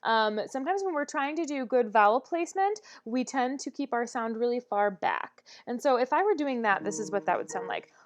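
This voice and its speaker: A woman voice teacher leading a vocal warm-up lesson, talking with many "um"s and demonstrating exercises. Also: fairly high pitch, expressive, fairly quick, hardly any pauses.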